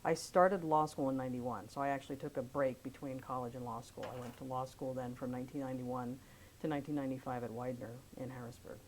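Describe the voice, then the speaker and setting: A woman in her fifties from Pennsylvania, an attorney, recounting her life story in an oral history interview with a measured, careful manner. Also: a bass voice, fairly even intonation, darkish tone, a little hiss or hum, rough recording.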